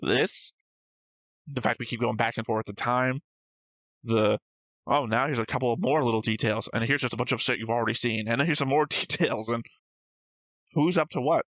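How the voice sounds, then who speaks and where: A man's voice: medium pitch, moderate pace, long pauses, a bit bright, no room sound. Casually rambling man, dry close sound. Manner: rambling, swears freely, thinks aloud. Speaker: reaction show co-host. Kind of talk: casual analytical chat about an anime episode.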